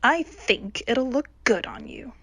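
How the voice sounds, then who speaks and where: A woman's voice: on the high side, expressive, measured pace. A woman playing the vengeful ghost of a young woman in a horror radio drama, accusing and menacing. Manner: accusing, menacing, hushed at times.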